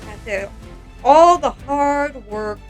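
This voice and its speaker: A woman's voice: sits high, lively intonation, slow, soft background music. A woman narrating a casual craft vlog in a rambling, self-deprecating and exclamatory way, as the creator of doll customization videos.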